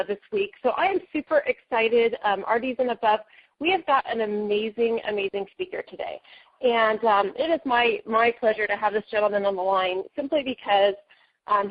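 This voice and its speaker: A woman hosting a team conference call, introducing a guest speaker with effusive, enthusiastic praise. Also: medium pitch. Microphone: phone line. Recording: muffled phone line.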